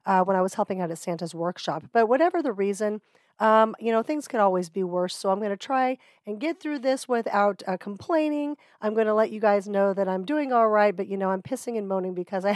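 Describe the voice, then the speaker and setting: A middle-aged woman hosting her own podcast, talking casually and candidly, her voice hoarse and gravelly from a cold, laughing easily. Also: medium pitch, dry recording, clean studio sound. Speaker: podcast host. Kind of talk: solo podcast talk.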